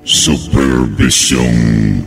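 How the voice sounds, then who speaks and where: Very slow, gravelly male horror announcer. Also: extremely low pitch, fairly even intonation. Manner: ominous, drawn-out, theatrical. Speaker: announcer and narrator. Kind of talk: dramatic horror radio announcing. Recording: long hall reverb, music underneath.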